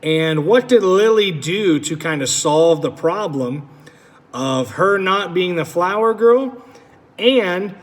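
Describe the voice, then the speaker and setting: A man teacher reading a picture book aloud to children with animated storytelling, then asking them questions about it. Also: sits high, slow pace. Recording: echoey room with long reverb.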